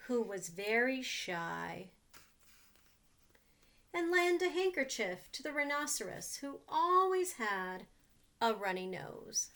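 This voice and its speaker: A woman reading a picture book aloud to children, gently pausing to ask them questions about the pictures. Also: fairly high pitch, very animated.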